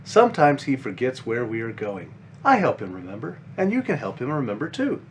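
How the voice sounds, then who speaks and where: A man's voice: mid-range voice, huge pitch swings, brisk, a little hiss or hum. A man voicing a caring five-year-old big sister bunny from a children's picture book, explaining things simply and earnestly.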